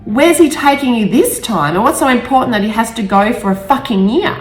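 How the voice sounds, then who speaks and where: A woman's voice: a little high, animated, fairly quick, soft background music, pitched above the performer's own voice, lightly acted. Woman voicing a fiery, indignant young girl, roomy echo. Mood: indignant, angry. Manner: indignant, confrontational. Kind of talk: audiobook character dialogue.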